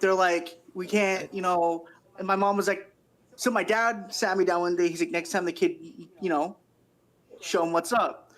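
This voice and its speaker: A man from Canada talks in a loose, casual podcast style. He tells stories with plenty of swearing and an easy readiness to laugh, at a middling pitch for the conversation. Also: fairly even intonation, moderate pace, a bit bright. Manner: laughs often while telling stories. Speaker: podcast speaker. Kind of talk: casual chat, swearing anecdotes.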